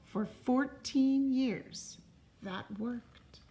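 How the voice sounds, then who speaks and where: A woman reading a storybook aloud to a class as a teacher, in a deliberate, expressive way. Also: sits high, a little hiss or hum. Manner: deliberate and expressive, pausing to question listeners.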